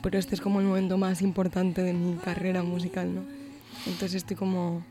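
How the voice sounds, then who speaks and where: A young woman from Galicia with a light Galician accent, a musician guest talking in a relaxed, thoughtful way with many fillers in a radio studio. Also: fairly low pitch, a little fast, music in the background. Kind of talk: radio interview.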